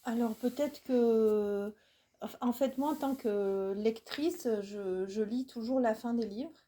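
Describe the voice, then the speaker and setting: A woman author answers a question at a bookshop event. She is slow and halting, with a fairly high voice. Faint background noise sits under her words. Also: mellow. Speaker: novelist, guest author. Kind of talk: conversation at book event.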